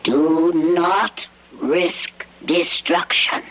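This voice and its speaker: An actor voicing a small, wary, menacing alien visitor in a radio drama, with stilted, formal phrasing and a husky, breathy voice. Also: medium pitch. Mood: solemn warning. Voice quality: husky, breathy, often near a whisper. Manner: halting, stilted, formal phrasing without contractions. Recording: dull lo-fi old radio recording.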